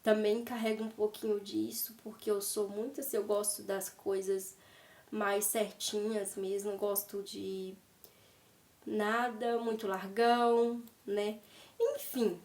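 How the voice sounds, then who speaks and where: A Brazilian woman vlogging casually about fashion, a bit congested from a cold, chatty and tagging her sentences for viewers, at home by her wardrobe. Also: fairly high pitch. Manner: chatty, tags sentences with "né?" and addresses viewers as "gente". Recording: roomy, distant from the mic.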